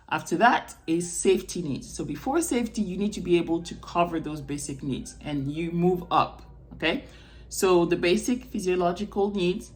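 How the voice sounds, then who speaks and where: A woman from Morocco speaking off the cuff to camera as a video blogger, explaining things and checking in with the listener. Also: lowish voice. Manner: explanatory, checks in with "okay?" and "right?". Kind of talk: unrehearsed personal talk to camera.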